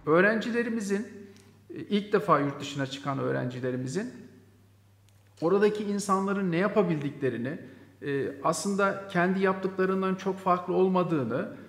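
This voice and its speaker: A man's voice: sits high, unhurried. A man, a teacher giving an interview testimony. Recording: echoey room with long reverb.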